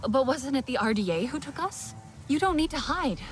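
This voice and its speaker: A woman's voice: high-pitched, lively intonation, moderate pace, faint music behind. An actress playing a determined young female outsider who earnestly pleads for help.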